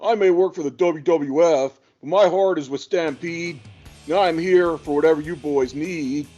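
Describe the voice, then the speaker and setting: A man's voice: a little high, normal speed, mellow, faint music behind, close to an everyday voice. A man playing an indignant, foul-mouthed and loyal pro wrestler in a comedic audio play. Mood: indignant, lewd.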